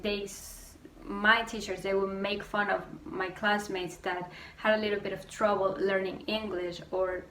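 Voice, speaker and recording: A young woman from Venezuela, with a Venezuelan accent and Spanish as her first language, ranting casually to camera with lots of fillers. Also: mid-range voice. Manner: quick, animated storytelling with many fillers. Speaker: vlogger. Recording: roomy echo, distant mic, faint noise.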